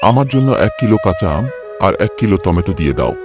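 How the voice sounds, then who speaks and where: A man's voice: deep voice, animated, fast talker, music in the background. A man playing a kindly elderly village uncle in a cartoon, affectionate and neighbourly. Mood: affable. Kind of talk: Bengali cartoon voice acting.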